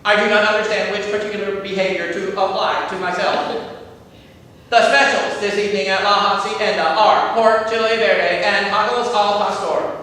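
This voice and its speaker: A man's voice: high voice, steady tone, normal speed, sharp tone. A man acting as a polite, literal-minded AI assistant in a stage comedy, speaking in a formal, announcer-like way. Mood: literal, apologetic. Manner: formal, announcer-like, over-precise. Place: stage in a theatre hall. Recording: distant echoey theatre hall, faint noise.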